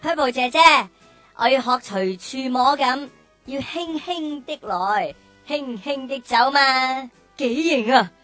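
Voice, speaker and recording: A woman's voice: high-pitched, expressive, slow, a bit bright, soft background music, exaggerated voice. A woman voicing a cheeky, whiny young male cow in a children's radio drama, with exaggerated delivery. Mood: whiny, dramatic.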